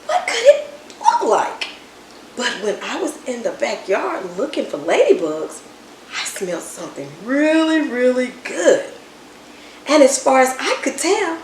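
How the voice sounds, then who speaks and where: A woman reading a children's story aloud in an expressive, dramatic way, with emphatic words and hushed asides. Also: a little high. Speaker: storybook reader. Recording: roomy echo, distant mic, background noise.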